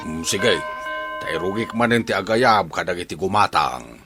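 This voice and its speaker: A man's voice: low-pitched, expressive, moderate pace, over music. A man playing a scheming, easily flustered peddler of fake medicine in an Ilocano comedy drama, with an Ilocano accent and exclamatory delivery. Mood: hawking.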